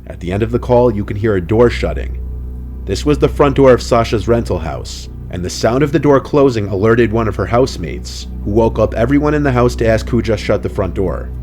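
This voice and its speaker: Low-pitched man narrating scary stories. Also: lively intonation, fairly quick, darkish tone. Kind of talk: scripted horror story narration. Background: faint music.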